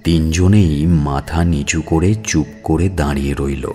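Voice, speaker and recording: A man narrating a scripted audio story in a measured, expressive storytelling manner. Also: extremely low pitch, soft background music.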